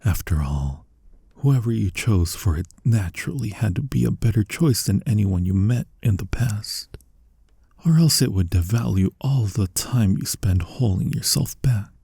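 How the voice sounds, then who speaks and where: Man as a low, husky, soothing incubus. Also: lively intonation, dark tone, dry recording, clean studio sound, full character voice. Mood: understanding, gentle. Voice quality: breathy, husky, often sinking into whispers. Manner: slow, coaxing, reassuring. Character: flirty male incubus demon, tender, soothing, gently dominant. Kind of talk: ASMR roleplay, intimate comforting monologue.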